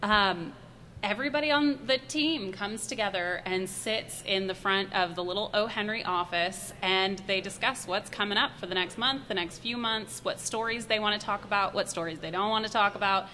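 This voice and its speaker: A woman's voice: medium pitch, moderate pace, pushed and bright. A woman co-hosting a casual podcast chat, playful and teasing with her guests. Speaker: co-host.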